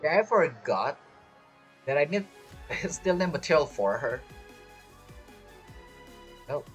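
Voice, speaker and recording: A man's voice: fairly high pitch, sing-song, slow, a bit bright. A man giving casual live gameplay commentary, talking as a gaming streamer. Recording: game music behind the voice. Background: video game music.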